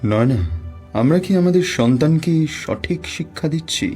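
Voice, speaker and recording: A middle-aged cartoon dad, played by a man, proud and brusque. His delivery swings widely in pitch and leans hard on words, over soft background music. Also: expressive, moderate pace, husky. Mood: remorseful. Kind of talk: Bengali cartoon voice acting.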